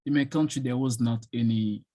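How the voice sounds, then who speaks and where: Hesitant man with a dark, dry voice. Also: mid-range voice, steady tone, brisk, dry recording. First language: French. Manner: hesitant, many fillers, thinking aloud. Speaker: guest speaker on studying abroad. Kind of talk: answering questions in an online session.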